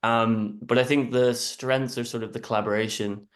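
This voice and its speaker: An Irish man introducing himself on a panel in a relaxed, hesitant way with many fillers, talking over a video call. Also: medium pitch, moderate pace. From Ireland. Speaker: music publisher panelist.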